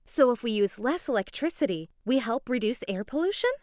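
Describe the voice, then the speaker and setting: A woman giving an expressive, explanatory dramatized reading of an educational children's story. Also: a little high, fairly quick, no echo, studio quality. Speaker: children's book reader.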